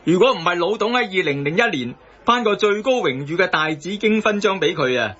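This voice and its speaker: An actor playing a chatty, grumbling Hong Kong Cantonese taxi driver in a satirical radio drama. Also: male voice, high voice, expressive, brisk, fairly bright tone. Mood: matter-of-fact. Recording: dull, band-limited broadcast audio.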